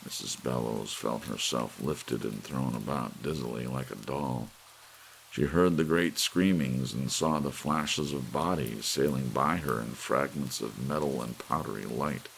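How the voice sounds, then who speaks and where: A man with a gravelly voice reading an audiobook story in a soft ASMR narration style. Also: rumbling low, measured pace, rough recording. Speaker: audiobook reader. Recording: faint hiss under the voice. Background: soft rain.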